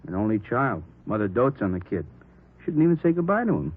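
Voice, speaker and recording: An actor playing an earnest, suspicious police detective in a 1940s radio drama, talking in informal, clipped phrases. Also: male voice, fairly low pitch, very animated, brisk, phone-quality sound, a little hiss or hum. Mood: earnest report. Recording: thin, band-limited old radio recording.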